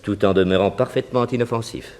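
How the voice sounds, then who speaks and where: Deep-voiced, earnest lab assistant acted by a man. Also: fast talker, a little mellow, lightly acted. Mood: earnest, proud. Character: earnest, proud male chemist's laboratory assistant. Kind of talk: 1960s French radio detective serial acting.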